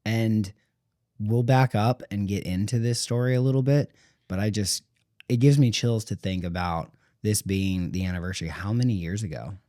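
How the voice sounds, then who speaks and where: A man's voice: lowish voice, moderate pace, dark tone, dry recording, clean studio sound. A man hosting a podcast, asking questions in an easygoing way and reacting with short interjections. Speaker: podcast host. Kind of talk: casual interview chat.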